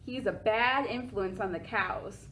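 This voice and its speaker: A woman's voice: medium pitch, measured pace, a bit bright, lightly acted. Woman reading as a fussy, worried farmer. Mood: ordering.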